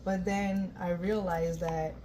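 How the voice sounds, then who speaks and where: A woman from France, a guest dancer telling her story in a relaxed way, with many "you know" and "um". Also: lowish voice, slow pace. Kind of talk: casual interview talk. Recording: faint event noise.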